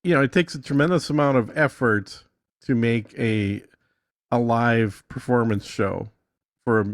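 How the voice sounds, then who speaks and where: A man with a creaky, gravelly voice, recorded dry and close. He talks casually as a podcast guest walking through a tutorial, in a blunt, matter-of-fact way. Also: mid-range voice, a little slow, no room sound. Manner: blunt, corrects the other speaker. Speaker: podcast guest explaining music monetization. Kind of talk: casual screen-share tutorial chat.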